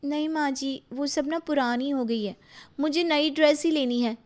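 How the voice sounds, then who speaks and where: Woman voicing an eager, high-pitched young daughter-in-law. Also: normal speed, slight background noise, natural voice. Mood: pleading. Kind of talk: animated moral story character voice.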